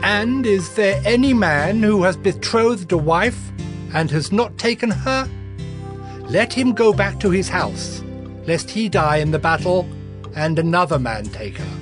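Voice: mid-range voice, expressive, measured pace, lightly acted. An actor playing an army officer, calling out to troops in a declamatory way in a dramatized scripture reading. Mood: commanding, proclaiming. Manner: proclaiming, declamatory. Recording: music behind the voice.

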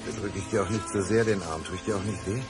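A man's voice: deep voice, fast talker, natural voice. An actor playing an obsessive, volatile man in a German radio crime drama, intense and insistent in manner. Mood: menacingly tender. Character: obsessive, volatile, alcohol-prone man, menacingly tender. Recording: studio radio drama with music.

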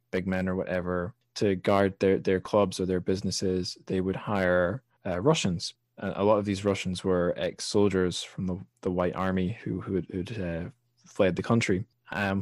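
A man hosting a podcast, talking through books in a casual, halting and thoughtful way. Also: deep voice, a little mellow, no echo, clean studio sound. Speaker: podcast host. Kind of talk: casual literary discussion.

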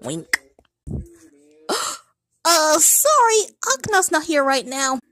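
Casting: high-voiced girlish woman, amateur character acting, theatrical, very drawn-out pacing. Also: dry recording. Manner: animated, theatrical. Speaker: fan voice actor.

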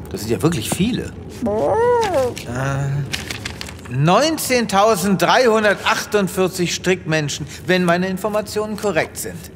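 An actor playing a vain, upbeat space veterinarian in an audio drama, theatrical and full of wonder. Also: male voice, high voice, fairly bright tone, slight background noise, full character voice. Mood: marvelling. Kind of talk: German audio drama acting. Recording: long reverb, faint vehicle-like ambience.

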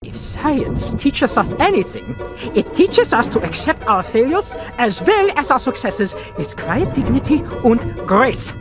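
High, swooping mad scientist. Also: male voice, big pitch swings, over music, heavily acted. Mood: grandiose. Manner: grandiose, theatrical, dramatic pauses. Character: melodramatic mad scientist, old bald man, grandiose and unhinged.

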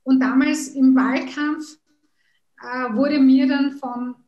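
A woman's voice: sits high, steady tone, slow pace, echoey room, dull audio. A woman in her thirties whose first language is Kurdish, speaking earnestly on a video call with frequent hesitations. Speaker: politician, interview guest. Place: room at home.

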